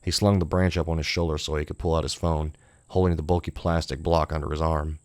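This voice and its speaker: A man's voice: rumbling low, little pitch movement, fast, no room sound, studio quality. A man reading scripted horror fiction as an audiobook narrator.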